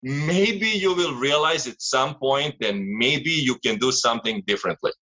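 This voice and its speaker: A man's voice: medium pitch, moderate pace, bright, forceful tone, lo-fi sound. A man, a strength coach, talking casually and giving advice in a rambling, philosophical way.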